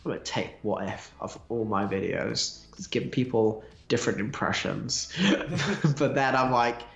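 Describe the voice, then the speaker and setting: A man's voice: medium pitch, moderate pace, gravelly, fairly bright tone. A man co-hosting a live stream, chatting casually and teasing his friend. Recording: echoey room, faint music behind.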